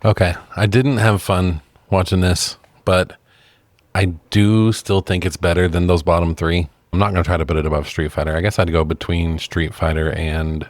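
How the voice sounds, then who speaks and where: A man's voice: low voice, flat delivery, normal speed, dry recording. A man co-hosting a podcast in a casual film review chat, laid-back and amused, with occasional swearing. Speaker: podcast co-host.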